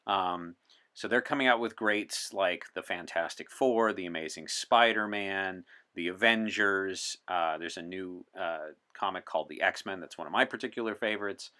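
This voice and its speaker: A man's voice: low-pitched, normal speed, bright, forceful tone. A man speaking as an interview guest in an articulate, explanatory and wry way. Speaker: podcast guest. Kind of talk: conversational interview talk in character as a visitor from 1964.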